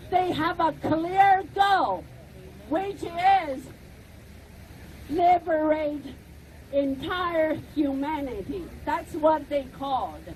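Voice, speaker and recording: A middle-aged woman with a Chinese accent gives an impassioned rally speech outdoors. Her voice sits very high, and she speaks in slow, deliberate phrases that swell into shouts. Faint traffic hums behind her. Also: poor audio. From China. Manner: emphatic, deliberate, rising to shouts.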